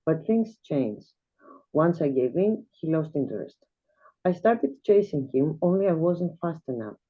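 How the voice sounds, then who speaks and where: A woman from Greece, reading her own flash fiction aloud in a measured, quiet way as a guest reader. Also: deep voice, animated, slightly rough. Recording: tinny video-call audio.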